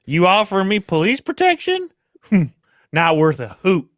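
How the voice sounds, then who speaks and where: A man playing a gruff, impatient, suspicious father in an old-time radio drama, talking in a curt, blustery way. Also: high-pitched, huge pitch swings, moderate pace, fairly bright tone, no room sound, studio quality, full character voice. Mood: gruff, dismissive.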